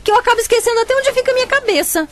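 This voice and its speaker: Brief: cheeky young daughter in a radio play, high animated voice. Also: female voice, very fast. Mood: sheepish. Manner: sulky, cheeky. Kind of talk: radio drama acting.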